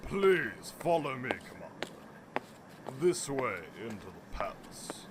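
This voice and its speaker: A man's voice: mid-range voice, sing-song, takes it slowly, very noisy. A man playing a shrewd, fawning prime minister in an audio drama, speaking in a formal, unctuous manner. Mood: obsequious. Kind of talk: radio-play acting.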